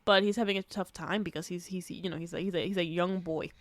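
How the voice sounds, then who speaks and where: A woman co-hosting a podcast in casual chat, telling stories in an animated, slangy, sweary way. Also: fairly low pitch, fast talker, no echo. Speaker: podcast co-host. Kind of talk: casual chat retelling a movie.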